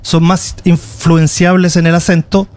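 A man with a Chilean accent and a slightly high-pitched voice talks as an interview guest. He explains things conversationally, doubling back on words as he forms his answer. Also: little pitch movement, measured pace, darkish tone. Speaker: interview guest, tour guide and video creator.

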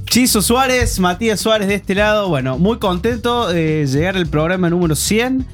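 A man's voice: high-pitched, expressive, moderate pace, faint music behind. A man with a Córdoba Argentine accent chatting cheerfully as a radio co-host, rambling with fillers. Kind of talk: casual radio chat.

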